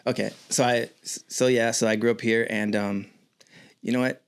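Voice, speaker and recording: A man's voice: medium pitch, level tone, normal speed, smooth. Laid-talking Los Angeles man in his fifties. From El Sereno, Los Angeles. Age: 50s. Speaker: podcast guest, artist. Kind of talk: casual chat. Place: decorated home garage.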